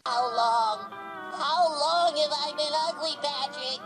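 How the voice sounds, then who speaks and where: A woman voicing a shrill, whiny little cartoon character in an indignant, exclamatory parody dub. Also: slow. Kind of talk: comedic cartoon voice-over dubbing. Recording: loud music behind the voice.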